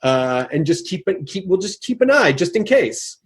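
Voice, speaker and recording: A middle-aged male host with a fairly high voice talks in a loose, casual way. He circles his thoughts with restarts and little fillers. When he plays out what someone might say, his voice lifts and gets lively. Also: moderate pace. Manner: thinks aloud with many restarts, animated when quoting. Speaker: podcast host. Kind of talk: casual conversational interview.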